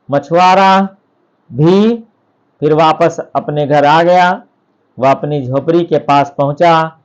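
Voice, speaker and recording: A man narrating a scripted bedtime story in deliberate short phrases, with pauses between them. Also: fairly high pitch, highs cut off.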